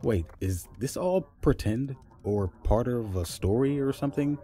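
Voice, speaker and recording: An actor playing a bewildered, slow-talking big guy, a confused and hesitant podcast guest in an audio drama. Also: medium pitch, warm tone, slight background noise, lightly acted.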